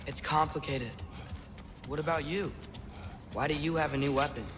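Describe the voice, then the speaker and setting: Earnest, higher-voiced teenage boy adventurer, played by a man. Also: fairly even intonation, moderate pace, heavy background noise, close to an everyday voice. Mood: defensive, pleading. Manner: casual, youthful, hesitant. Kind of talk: naturalistic video game cutscene acting.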